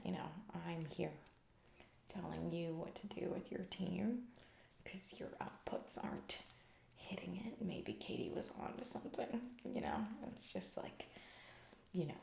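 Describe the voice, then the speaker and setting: A woman playing a callous, self-absorbed, distracted female CEO in a soft-spoken ASMR comedy roleplay, breathy, rambling and trailing off. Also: medium pitch, reverberant. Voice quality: breathy soft voice with heavy vocal fry. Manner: rambling, trailing off, vague filler-heavy corporate talk. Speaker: ASMR performer.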